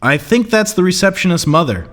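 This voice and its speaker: A man's voice: high-pitched, sing-song, a little fast, fairly bright tone. A man playing a sarcastic, scheming know-it-all coworker in an audio comedy sketch, condescending and mocking. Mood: scheming, patient.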